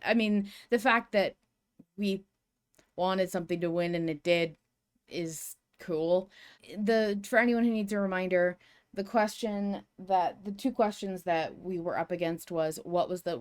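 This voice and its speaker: A woman hosting a podcast in a casual fan discussion, explaining things carefully and with emphasis. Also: medium pitch. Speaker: podcast host.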